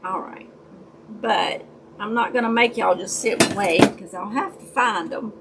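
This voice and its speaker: A woman with a raspy voice, chatting casually with her viewers during a crafting livestream and greeting them by name. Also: mid-range voice, a little slow, noisy background. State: raspy voice, dry throat. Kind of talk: casual chat while crafting.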